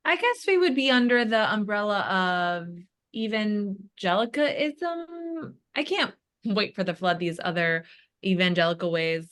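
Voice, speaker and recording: A woman playing an earnest, folksy evangelical church board member in a comedy table read, deliberately spelling things out. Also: medium pitch, lively intonation, fairly bright tone. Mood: earnest, pleased.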